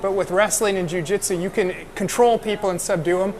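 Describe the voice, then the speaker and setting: A man's voice: high-pitched, fairly even intonation. A young American man interviewing a guest on a film set, chatty and joking about himself. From United States. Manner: chatty, self-deprecating, quick to joke. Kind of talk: casual interview chat. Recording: loud background noise on set.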